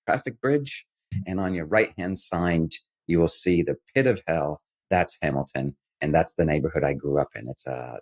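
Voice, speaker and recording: A man from Canada telling his life story as a podcast guest, in a measured, descriptive way with a wry edge. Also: low-pitched. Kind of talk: storytelling in an interview.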